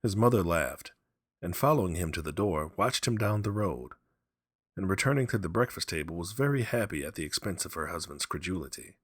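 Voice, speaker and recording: A man's voice: deep voice, breathy edge, no room sound, studio quality. A man reading a story aloud as a dramatic, scripted narration. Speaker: story reader.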